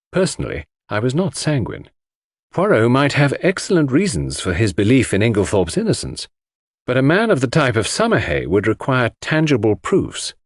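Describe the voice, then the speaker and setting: A man's voice: on the low side, breathy edge, no room sound, studio quality. A man reading scripted first-person mystery narration for an audiobook.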